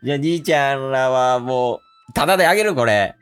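A man's voice: medium pitch, fairly quick, faint music behind, natural voice. A man with a Kansai accent playing a nosy, generous festival stall vendor in an amateur comedy radio drama, teasing and familiar. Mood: teasing, friendly.

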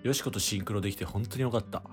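A man playing an earnest, lovestruck teenage boy in an improvised radio drama, with rough boyish speech and heartfelt delivery. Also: on the low side, racing, soft background music, close to an everyday voice. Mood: solemn, tender.

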